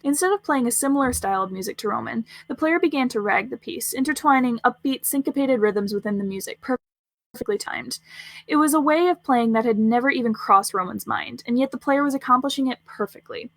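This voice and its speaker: A woman's voice: a little high, a little fast, dry recording. A woman reading scripted fiction aloud as the narrator of a story podcast.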